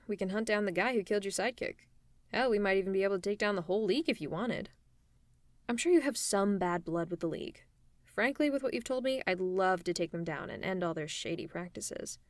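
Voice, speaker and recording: A woman acts out a sly female supervillain in an audio roleplay. She talks in a teasing, sardonic, conversational manner, with a caring streak under the villainy. Her mid-pitched voice is recorded close and dry. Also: no echo, close to an everyday voice. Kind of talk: roleplay ASMR audio drama.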